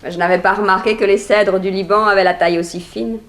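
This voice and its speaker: An actress playing a chatty, cheeky dresser at a fashion house, with familiar slangy talk, in a 1960s French radio drama. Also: female voice, medium pitch, fairly even intonation, fast talker, lightly acted. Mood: cheeky, nosy.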